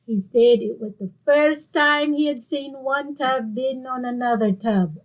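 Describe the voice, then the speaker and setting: Woman playing a high-pitched, feisty older neighbour lady. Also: a little slow, a little hiss or hum, low-quality recording. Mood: indignant. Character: feisty, easily offended middle-aged female neighbour. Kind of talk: old-time radio comedy acting.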